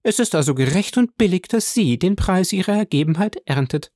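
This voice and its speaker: Dude putting on a sly middle-aged mom, higher voice, smooth and eager, dry sound. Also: male voice, expressive, fairly quick, a little mellow, dry recording. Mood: persuasive. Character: scheming, ingratiating middle-aged mother and wife. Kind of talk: audiobook character voice.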